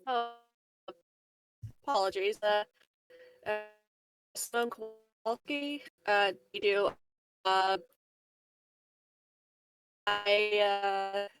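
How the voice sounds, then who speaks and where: A woman's voice: medium pitch, steady tone, extremely slow, pauses often, music in the background, poor audio. A woman playing a tabletop roleplaying game, chatting casually and improvising in a hesitant, giggly way.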